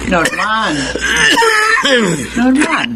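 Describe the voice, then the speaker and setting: A worried farm mother in a radio drama, her pitch rising and falling sharply as she pleads. Audible noise runs under the voice. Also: very animated, extremely slow. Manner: pleading, concerned.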